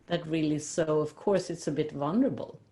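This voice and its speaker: A woman hosting a casual interview in English as a second language after Swedish, earnest and thoughtful, restarting words and laughing softly. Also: low-pitched, brisk. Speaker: host.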